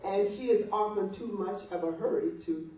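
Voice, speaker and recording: A woman's voice: medium pitch, expressive, moderate pace, dark tone. Older female, guest reader delivering a funny open letter; distant, heavily reverberant room sound. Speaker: guest reader at a birthday party. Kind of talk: reading a humorous open letter aloud to guests. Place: large echoey room at a birthday party. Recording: muffled, very echoey room, distant mic, noise.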